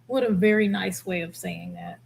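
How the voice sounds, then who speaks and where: A woman hosting a casual reaction commentary, reading out comments with a blunt, expressive delivery. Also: mid-range voice, normal speed, vocal fry. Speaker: host, former air traffic controller.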